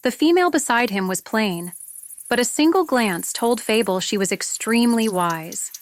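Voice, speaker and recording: A woman narrating a fantasy story from a script, as an audiobook reader. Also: a little high. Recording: noticeable background noise with clicks, insect-like sounds.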